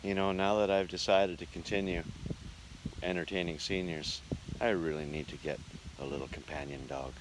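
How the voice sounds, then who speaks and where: A man with a low voice narrates a travel vlog in a casual, off-the-cuff way. He sounds like he is out on location, with noticeable ambient and vehicle noise behind his words. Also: fairly even intonation, moderate pace. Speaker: radio show host and entertainer on tour. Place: mostly outdoors, on location while travelling. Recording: noisy vlog audio with ambient vehicle rumble.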